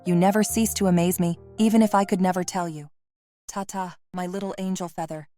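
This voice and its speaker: A solemn mother with a secret agent's past, played by a woman, confesses in a low, measured voice. She is remorseful and loving, and music plays clearly beneath her audio drama monologue. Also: steady tone, warm tone, natural voice. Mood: tender remorse.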